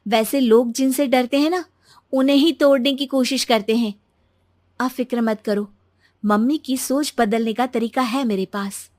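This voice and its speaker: A woman's voice: on the high side, fairly even intonation, moderate pace, a little mellow, no room sound, clean studio sound, close to an everyday voice. A woman voicing a spirited young daughter-in-law in a cartoon, talking earnestly and persuasively.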